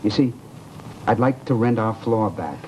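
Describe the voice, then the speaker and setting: An actor playing a mild, evasive widower in an old radio drama, polite and hesitant but outwardly cheerful. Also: male voice, lowish voice, expressive, unhurried, a little mellow. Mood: hesitant, determined. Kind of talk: 1940s radio drama acting. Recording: dull, hissy old radio recording.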